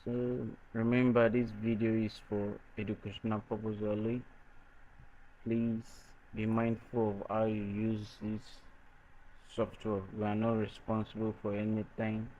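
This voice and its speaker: Slow, flat man giving a software tutorial. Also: on the low side, a little hiss or hum. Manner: halting, hesitant delivery. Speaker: tutorial presenter. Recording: dull lo-fi microphone sound.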